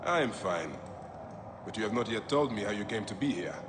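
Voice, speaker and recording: Actor as a low-voiced stoic warrior. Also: male voice, animated, lightly acted. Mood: guarded, resolute. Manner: terse, grave, measured. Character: stoic, serious male warrior and protector. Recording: noisy ambient background.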